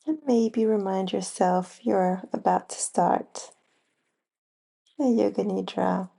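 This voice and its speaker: A woman leads a guided relaxation as a yoga nidra teacher. Her voice is mellow and soft-edged, with a clear creak of vocal fry. She speaks very slowly, in short phrases separated by long pauses. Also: mid-range voice, fairly even intonation. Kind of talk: slow guided relaxation meditation.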